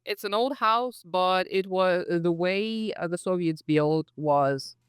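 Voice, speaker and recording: A man talks as a podcast guest from home, telling childhood stories in a friendly, reflective way. His voice is smooth and clear and sits a touch high. The sound is close and dry. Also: slow pace, fairly bright tone, no echo. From Kazakhstan. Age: 30s. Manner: friendly, reflective storyteller with frequent "uh" and "so". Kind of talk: casual interview chat.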